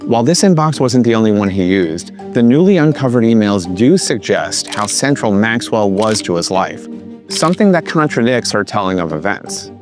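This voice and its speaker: Deep-ish, lively male news narrator. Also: normal speed, over music. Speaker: news reporter.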